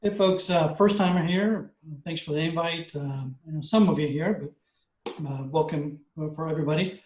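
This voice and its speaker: A man's voice: fairly high pitch, normal speed, a little mellow. A man casually introducing himself as a company chief at a meeting. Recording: echoey room on a call.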